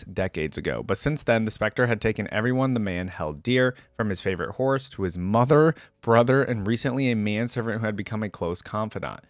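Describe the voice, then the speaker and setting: A man's voice: lowish voice, dry recording. A man hosting a reaction video, reading lore aloud and commenting on it casually.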